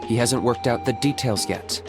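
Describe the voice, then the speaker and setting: A man voicing a stoic young warrior in a video game, calm and deadpan, always blunt and practical. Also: mid-range voice, moderate pace, music in the background, lightly acted.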